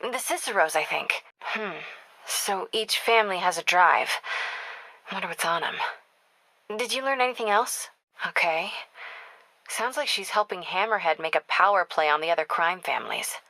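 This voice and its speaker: An actress playing a sharp, determined young American investigative reporter in a video game, speaking in a wry, brisk, conversational way as if on the phone. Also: female voice, fairly low pitch, animated, no room sound. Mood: focused. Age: young adult.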